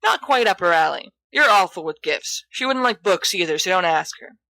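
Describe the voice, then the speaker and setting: A woman's voice: fairly low pitch, animated, moderate pace, creaky, pushed and bright, dry recording, full character voice, rough recording. A woman voicing a boisterous, teasing, always hungry teenage schoolboy in a storybook reading. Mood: musing, flippant.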